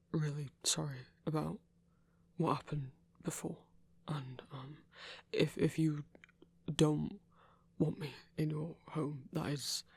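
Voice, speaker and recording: A man plays an awkward, gentle werewolf in an ASMR story. His voice is very breathy and husky, and he speaks slowly. He stammers and hesitates, always ready to apologize. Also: fairly high pitch, dry recording, exaggerated voice. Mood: anxious, apologetic. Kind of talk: ASMR roleplay acting.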